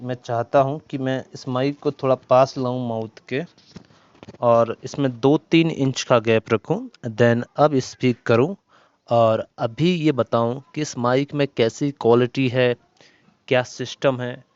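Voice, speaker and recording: A man's voice: medium pitch, steady tone, a little slow, darkish tone, clear, resonant tone, highs cut off. A man in a casual product-test talk to viewers, explaining step by step and repeating his points. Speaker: tech reviewer. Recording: noisy amateur recording with ambient background noise.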